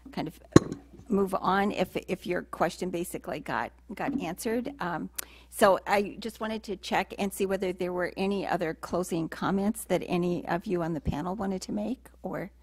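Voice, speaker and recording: A woman's voice: on the low side, moderate pace, slight background noise. A woman moderating a policy briefing, politely wrapping up the session.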